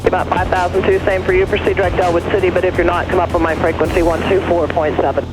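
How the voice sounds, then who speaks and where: A man's voice: high voice, little pitch movement, fast talker, music in the background, poor audio. A man working as an air traffic controller, giving procedural instructions over aviation radio.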